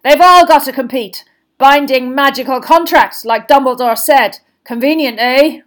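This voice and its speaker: A woman voicing a gruff, suspicious old man with a growling, blunt, sardonic delivery in an audiobook. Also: high-pitched, moderate pace, pitched above the performer's own voice, natural voice.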